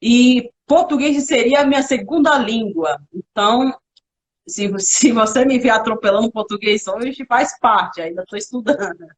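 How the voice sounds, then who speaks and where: A young woman from the Xingu, a native Kalapalo speaker, talking in Portuguese as a guest on a live video call, thoughtful and halting with restarts. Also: fairly high pitch, expressive. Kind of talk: casual live interview.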